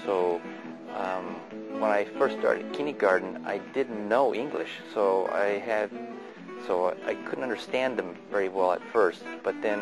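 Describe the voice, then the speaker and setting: Fifties guy from california, chinese first language, low gravelly voice, calm and plain. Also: low-quality recording. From Sunnyvale, California. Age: 50s. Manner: measured, plain, reflective. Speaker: oral history narrator. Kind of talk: reading a prepared memoir, then unscripted recollection.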